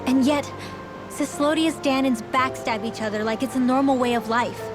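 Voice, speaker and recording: A woman playing a soft-hearted, troubled teenage girl in a fantasy video game, hesitant and introspective. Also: sits high, steady tone, moderate pace, over music, lightly acted. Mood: troubled, conflicted. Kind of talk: video game cutscene dubbing.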